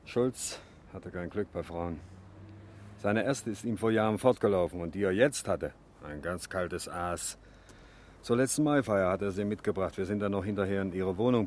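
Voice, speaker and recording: Garage boss, male actor, radio crime play: lowish voice, brusque, gruffly loyal, plain-spoken gossip. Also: darkish tone, a little hiss or hum, natural voice. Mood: confiding, scornful. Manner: brusque, colloquial. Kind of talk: 1970s radio crime drama acting.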